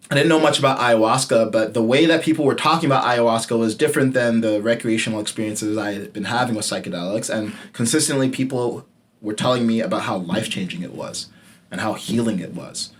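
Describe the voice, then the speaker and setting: Young guy podcast host, candid and casual, swears a lot, gets quiet and low on the big points. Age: late 20s. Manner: candid and casual, swears freely, drops to a low murmur for emphasis. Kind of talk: personal storytelling monologue.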